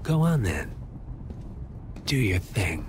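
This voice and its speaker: Game villain: a low-voiced, unhurried, condescending schemer, noisy ambience behind. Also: male voice, sing-song, heavily acted. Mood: smug. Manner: dry, polished, condescending. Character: smug, cornered older male villain. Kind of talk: video game cutscene acting. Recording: ambient noise and a vehicle behind.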